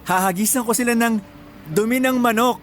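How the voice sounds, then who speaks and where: A man's voice: very high-pitched, fairly quick. A man playing a protective, playful, affectionate younger brother, a working teenage boy, in a Filipino radio drama. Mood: fond, playful. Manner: casual, affectionate.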